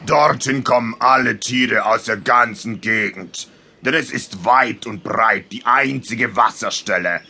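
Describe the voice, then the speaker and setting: Slow, gravelly, big elephant. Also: male voice, mid-range voice, sharp tone, full character voice. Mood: helpful. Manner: deliberate, self-assured. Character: big, helpful, self-assured male elephant. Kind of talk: German children's audio drama.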